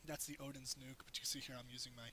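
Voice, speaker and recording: A man with a hoarse voice narrates his own strategy game match, walking through tips in a loose, rambling way. His tone is bright and forward, with a light hiss under the recording. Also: mid-range voice, little pitch movement, fast. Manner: explains as he plays, loose and rambling. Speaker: gameplay commentator. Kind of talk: casual game tutorial commentary.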